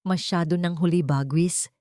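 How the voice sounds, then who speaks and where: A woman reads a hurt young mother turned executive in an audio drama. The voice is low and flat, with no warmth let through, recorded close and dry. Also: normal speed, no room sound, lightly acted. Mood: cold. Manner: flat and emotionless. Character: cold, wounded young mother, now a CEO.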